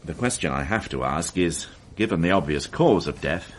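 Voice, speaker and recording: A man's voice: sits low, animated, moderate pace, a little hiss or hum. An actor playing a dry, ironic male police inspector in a radio drama, speaking in a sardonic way.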